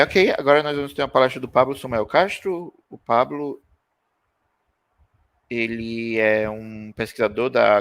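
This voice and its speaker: A man's voice: medium pitch, a bit bright. Chill young guy introducing a talk, laid back, indoors at home. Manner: informal, easygoing. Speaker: event presenter. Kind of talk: casual introduction.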